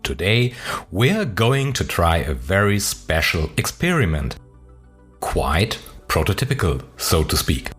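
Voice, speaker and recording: A man's voice: deep voice, very animated, unhurried, a bit bright, faint music behind. A man hosting a podcast with an upbeat, promotional scripted introduction. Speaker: podcast host.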